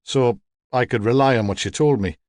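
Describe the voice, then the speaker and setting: A man voicing a calm, polite police detective who asks measured, probing questions in an audiobook story. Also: medium pitch, dry recording, clean studio sound, lightly acted. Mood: polite, businesslike.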